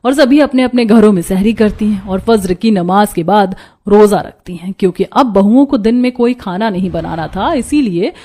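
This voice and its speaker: A woman's voice: mid-range voice, moderate pace, mellow. A woman reading scripted narration for a cartoon story.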